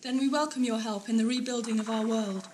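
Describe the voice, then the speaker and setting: A woman's voice: fairly high pitch, steady tone, brisk, darkish tone, slight background noise, natural voice. A woman playing a gracious, solemn leader of alien villagers in an audio drama, speaking formally. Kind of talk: 1980s amateur audio drama acting.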